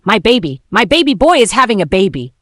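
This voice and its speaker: A woman's voice: mid-range voice, very animated, a little fast, a bit bright, dry recording, clean studio sound, voice pushed up. A woman voicing a dramatic, self-absorbed middle-aged mother-in-law with a falsely sweet, boastful manner in a narrated story. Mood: gushing, wailing. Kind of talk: acted quotes in a narrated story.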